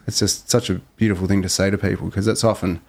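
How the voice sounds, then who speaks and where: A man chatting as a podcast guest, relaxed and reflective, with lots of fillers. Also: sits low, fast, gravelly. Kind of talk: casual podcast chat.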